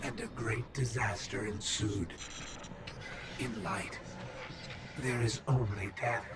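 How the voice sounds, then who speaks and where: A man voicing a cold, patient otherworldly spirit in a video game, speaking in a measured, portentous, beckoning way. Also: on the low side, far from the mic, very noisy. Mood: ominous, accusing. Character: ominous, seductive otherworldly dark spirit, coldly patient. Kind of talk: slow, ominous video game monologue.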